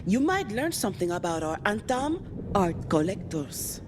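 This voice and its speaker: A woman's voice: medium pitch, very animated, normal speed, lightly acted. A woman playing a shrewd, secretive market master in a video game, talking in a knowing, cryptic way. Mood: knowing, guarded. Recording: clear ambient noise behind.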